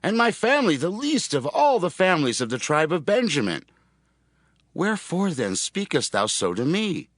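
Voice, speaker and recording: A man's voice: on the high side, very animated, moderate pace, fairly bright tone, no echo, clean studio sound. An actor playing a humble, puzzled young man in dramatized scripture, earnest and questioning. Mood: humble, incredulous.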